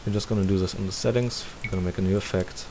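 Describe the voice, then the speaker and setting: Low-voiced man giving a game tutorial. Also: rapid-fire. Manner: thinking aloud step by step. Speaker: tutorial presenter. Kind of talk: casual screen-recorded game tutorial. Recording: steady hiss behind the voice.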